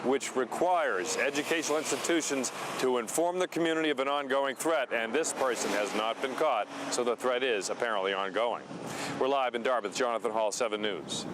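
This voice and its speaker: A man's voice: mid-range voice, brisk, noisy background. A man reporting live for television news in a scripted broadcast style.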